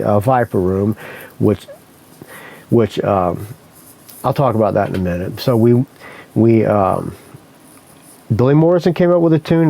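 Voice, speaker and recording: A man's voice: mid-range voice, warm tone, low-quality recording. A man hosting a podcast, telling a story in a casual, rambling way, correcting himself often and swearing freely. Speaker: podcast host.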